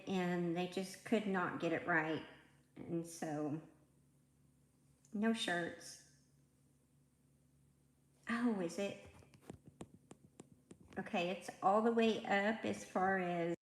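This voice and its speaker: A soft-spoken woman in her fifties with a slightly raspy voice chats unhurriedly with viewers on her livestream. She takes her time and wanders from topic to topic. The sound comes through a tablet mic in an echoey room. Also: mid-range voice. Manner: chatty and rambling, greeting viewers by name. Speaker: livestream host. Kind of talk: casual livestream chat with viewers. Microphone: tablet microphone.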